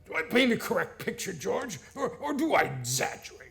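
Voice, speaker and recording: A man playing an old miserly banker in a radio play, sly and condescending, with a gravelly, drawn-out wheedle. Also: high voice, very animated, a bit bright, full character voice. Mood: mocking, needling. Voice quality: gravelly, creaky. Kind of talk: stage-style radio play acting.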